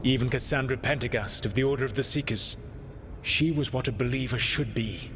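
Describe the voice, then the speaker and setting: A man playing an ancient, proud and regretful elven rebel in a video game, speaking formally and deliberately in a measured, solemn way. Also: medium pitch, long reverb tail, background noise, close to an everyday voice. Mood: wistful. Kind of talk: video game dialogue acting.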